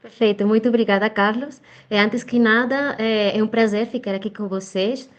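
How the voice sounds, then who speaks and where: A woman's voice: medium pitch, fairly even intonation, normal speed. Hesitant Spanish-accented woman, dull lo-fi audio. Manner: hesitant, many fillers, searching for words. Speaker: guest speaker, media educator. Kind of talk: presenting a workshop talk.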